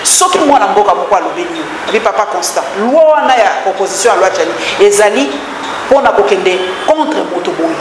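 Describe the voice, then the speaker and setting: Congolese interviewer, challenging and persistent, mid pitch, far off in a reverberant hall. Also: animated, a little fast, noisy background. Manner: pressing, challenging the guest. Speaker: talk-show interviewer. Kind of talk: interview questioning.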